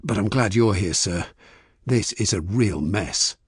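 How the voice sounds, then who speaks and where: A man's voice: lowish voice, expressive, measured pace, husky, no echo, clean studio sound, full character voice. A man voicing a deferential, slightly uneasy police sergeant reporting facts respectfully, in a mystery audiobook. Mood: dutiful, uneasy.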